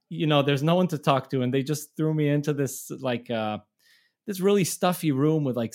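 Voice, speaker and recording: A man's voice: mid-range voice, a little mellow. A middle-aged man from Israel, a touring musician, telling stories in a casual, rambling way with many restarts and laughs. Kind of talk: casual storytelling.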